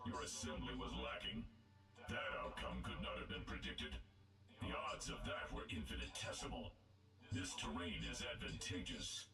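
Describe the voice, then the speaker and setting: A cold, arrogant machine villain from a video game with robotic processing. The very deep voice swings pompously between contempt and menace, echoing from far off under loud music. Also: male voice, gravelly, heavily acted. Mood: cold triumph. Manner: pompous, menacing, clinical phrasing. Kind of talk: video game character voice acting.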